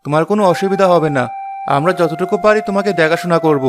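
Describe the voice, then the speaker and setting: A man's voice: a little high, fast, natural voice. A man voicing a kind but weary village woodcutter in a children's cartoon. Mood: kind, concerned.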